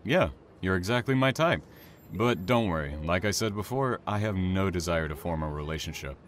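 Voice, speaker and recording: Man acting reserved train operator, very deep voice, measured, courteous, quietly intense, veiled menace, audio drama. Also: lively intonation, a little hiss or hum. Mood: smooth, forward.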